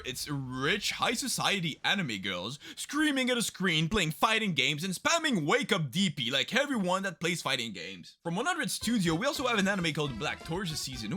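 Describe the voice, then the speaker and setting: A man's voice: on the high side, sharp tone. A young man hosting a comedic commentary video about the anime season, sarcastic and mock-dramatic. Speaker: YouTube host.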